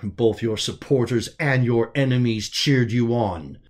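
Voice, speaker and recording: A man's voice: mid-range voice, measured pace, lightly acted. Male reader as loyal lieutenant, medical advisor, plain-spoken, explains to his boss, deferential, audiobook character. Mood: earnest, explaining.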